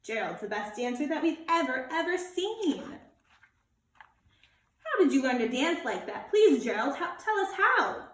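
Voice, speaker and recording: A woman voicing excitable jungle animals from a storybook, exclaiming emphatically in a roomy space. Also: sits high, measured pace, voice pushed up, full character voice. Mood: amazed. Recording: roomy echo, distant microphone.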